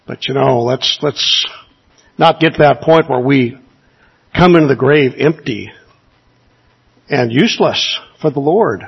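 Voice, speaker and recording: A man's voice: mid-range voice, measured pace, vocal fry, pauses often, breathy edge, highs cut off. A man preaching a sermon in an earnest, exhorting way, asking his listeners rhetorical questions.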